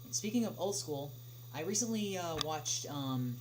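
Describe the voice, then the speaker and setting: A young man answering questions in a casual livestream chat, speaking haltingly with many drawn-out filler pauses. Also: on the high side, expressive. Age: young adult. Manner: halting, hesitant delivery with frequent long filled pauses. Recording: clearly noisy, humming background.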